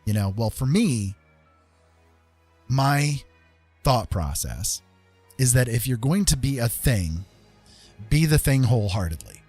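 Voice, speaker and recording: A man with a medium-pitched voice hosts a casual livestream with music behind him. He speaks in an opinionated, conversational manner, leaning on key points and regularly checking that the listener follows. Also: sing-song, slow, pauses often, darkish tone, breathy edge. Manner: emphatic, conversational, often checking with "right?" and "okay?". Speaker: livestream host.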